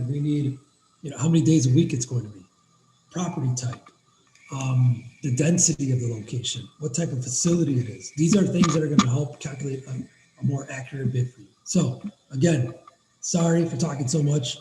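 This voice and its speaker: Raspy, fast-talking man, upbeat tutorial host, mellow tone, recorded in an echoey room. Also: medium pitch, a little hiss or hum. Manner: fast, energetic, addresses viewers as guys. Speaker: cleaning business owner giving a software demo. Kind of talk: casual screen-share tutorial.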